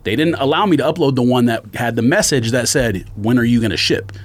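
A man's voice: medium pitch, quick pace. A man telling a story as a casual podcast rant, slangy and exasperated, acting out both sides of exchanges. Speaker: sneaker podcast co-host.

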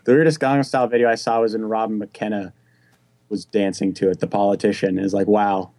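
A young man hosting a casual music chat, telling loose, animated stories and swearing freely. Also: lowish voice, fairly quick, dry recording. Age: young adult. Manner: animated, loose and profane storytelling.

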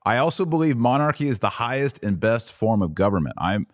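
An American man hosting a conversational interview, emphatic and quick to interject and repeat his phrases. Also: mid-range voice, sing-song, no echo, clean studio sound. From United States. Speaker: podcast host.

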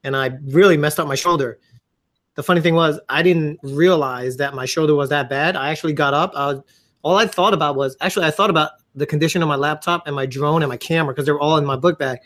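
A man co-hosting a comedy podcast, chatting in an animated, joking and sarcastic way. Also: fairly high pitch, quick pace. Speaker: podcast co-host. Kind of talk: casual comedic chat.

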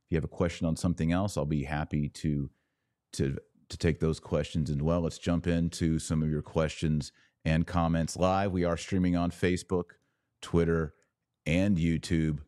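A man's voice: extremely low pitch, flat delivery, moderate pace, warm tone, dry recording, clean studio sound. An American man giving emphatic live opinion commentary as a podcast host, speaking to his viewers and repeating phrases for stress.

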